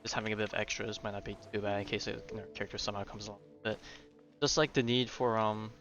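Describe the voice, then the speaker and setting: Bright-toned young man streaming, dull mic. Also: fairly low pitch, flat delivery, quick pace. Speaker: game streamer. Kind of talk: casual gameplay commentary. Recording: dull microphone, game music behind. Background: game music.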